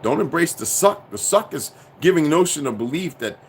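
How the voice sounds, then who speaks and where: A man's voice: fairly high pitch, expressive, moderate pace, slight background noise. A man delivering a profane, preachy, insistent monologue to his viewers. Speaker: commentator.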